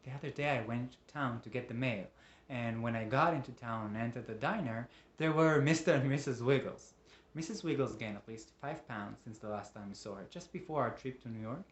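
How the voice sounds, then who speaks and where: A man performing a dramatic monologue as a lonely, embittered father, emotive and moving between hushed and agitated. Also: medium pitch, a little fast, slight background noise, close to an everyday voice. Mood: storytelling. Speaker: monologue actor. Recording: roomy, echoing room.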